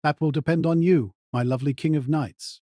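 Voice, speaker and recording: A man's voice: on the high side, fairly even intonation, a little fast, warm tone, no room sound, studio quality, natural voice. A man playing a cold, calculating sniper who gives terse, controlled instructions. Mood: wry.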